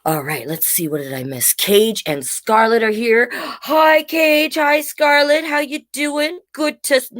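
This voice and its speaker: A woman's voice: high-pitched, a little slow, hardly any pauses, fairly bright tone. A woman hosting a children's storytime, reading picture books in a playful, encouraging way and chatting directly to young viewers. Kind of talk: animated picture-book read-aloud with chatty asides to young viewers.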